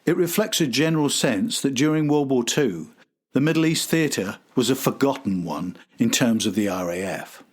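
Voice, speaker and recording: A man's voice: mid-range voice, animated, moderate pace, husky. Male podcast narrator, scripted documentary.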